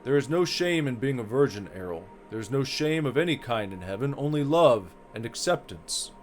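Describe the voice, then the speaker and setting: A man voicing a serene heavenly being who speaks softly, formally and solemnly in a visual novel reading. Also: mid-range voice, animated, normal speed, soft background music, lightly acted. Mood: solemn, soothing. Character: serene, soft-spoken heavenly being ushering the dead onward.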